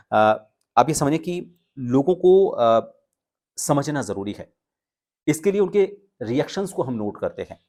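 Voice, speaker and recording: A man with a mid-pitched voice presents a lesson straight to his viewers. He explains step by step like a coach, pausing between phrases and putting weight on important words. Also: warm tone. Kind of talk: instructional talk to viewers with spoken examples.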